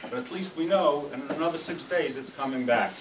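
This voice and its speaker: A man with a cold giving a rambling, self-deprecating farewell speech, full of halting restarts. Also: fairly high pitch. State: has a cold. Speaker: speaker at a farewell party. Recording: roomy hall, distant mic, noisy.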